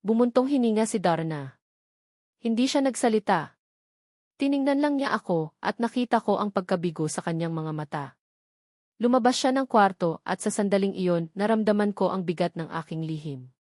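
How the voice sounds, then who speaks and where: A woman's voice: medium pitch, animated, moderate pace, a little mellow, dry recording. Woman narrating romantic drama, dry studio sound. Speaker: story narrator. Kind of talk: scripted first-person romance drama narration.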